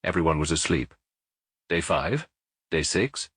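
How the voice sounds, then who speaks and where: A man reading a detective novel aloud in a measured, expressive storytelling manner on a close studio mic. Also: low-pitched, husky, no room sound. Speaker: audiobook reader. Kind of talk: scripted narration of a detective novel.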